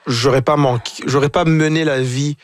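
A man, a guest on a talk show, speaking reflectively and haltingly, often repeating words as he searches for them. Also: a little high, fairly even intonation, no room sound. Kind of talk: reflective conversation.

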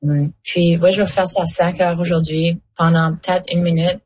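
Brief: Acadian French woman, halting cheerful talk, lower pitch, dry. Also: level tone, a little mellow, slightly rough, no room sound. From Acadia, Canada. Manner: hesitant, repeats words, cheerful. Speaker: radio guest. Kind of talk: casual chat.

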